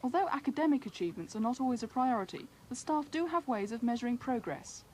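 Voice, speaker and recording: A woman's voice: on the high side, lively intonation, quick pace, darkish tone. A British woman reading scripted narration for a television news film. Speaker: documentary reporter, narrator. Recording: voice-over with clear background noise.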